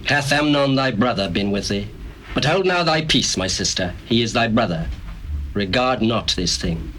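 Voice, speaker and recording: A forceful, commanding young prince. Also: male voice, lowish voice, lively intonation, moderate pace. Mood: grave comforting. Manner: forceful, theatrical, archaic phrasing. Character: commanding young prince, protective brother, quietly vengeful. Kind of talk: dramatized Bible reading. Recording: faintly noisy old cassette tape.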